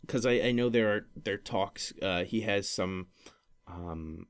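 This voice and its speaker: Guy with a lowish voice doing a solo podcast, super casual, rambles and stumbles over his words, keeps correcting himself. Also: clear, resonant tone. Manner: rambling, halting, self-correcting. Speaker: podcast host.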